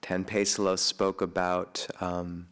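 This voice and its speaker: A man, a Buddhist monk, giving an informal Dharma talk in a soft, hesitant way with many pauses and fillers. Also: low voice, level tone. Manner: chants Pali homage at the start. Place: monastery meditation hall.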